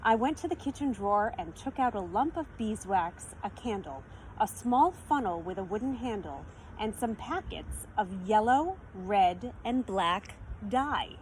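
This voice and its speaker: A woman's voice: medium pitch, lively intonation, measured pace, few pauses, a little mellow, poor audio. A woman storyteller reading a children's picture book expressively, in a teacherly manner. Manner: teacherly, explains words and asks listeners questions. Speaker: read-aloud storyteller. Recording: faint background noise.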